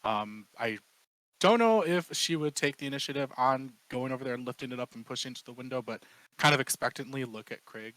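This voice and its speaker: A man's voice: mid-range voice, a little fast, a bit bright, no echo, low-quality recording. Tentative man playing a tabletop game. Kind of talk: casual game talk, describing a character's actions.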